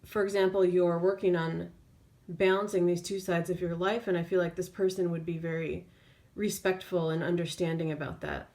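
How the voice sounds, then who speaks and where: A woman tarot reader speaking off the cuff in an intuitive reading, feeling her way through phrases with drawn-out pauses. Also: lowish voice, steady tone, a little mellow.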